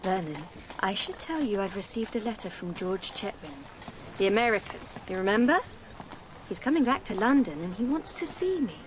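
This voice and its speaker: An actress playing an anxious, practical young sweetheart in a radio drama, fretful and reproachful. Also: female voice, medium pitch, lively intonation, moderate pace, a little hiss or hum, close to an everyday voice. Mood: hesitant.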